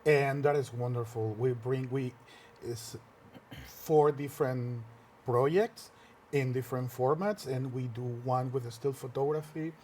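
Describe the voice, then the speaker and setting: A man whose first language is Spanish, a filmmaker and workshop teacher, answering interview questions earnestly with frequent pauses and restarts in a TV studio. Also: mid-range voice, darkish tone, slight background noise.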